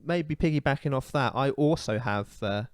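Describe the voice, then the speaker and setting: A man's voice: medium pitch, lively intonation, normal speed, no room sound, clean studio sound. A man co-hosting a casual comedy podcast, giving strong opinions in a rambling, ranting way with many fillers. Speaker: podcast co-host.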